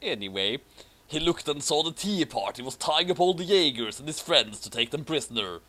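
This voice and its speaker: A man's voice: on the high side, lively intonation, moderate pace, pushed and bright. A man reading fan fiction aloud for laughs, mock-dramatic and amused, breaking off to add his own comments.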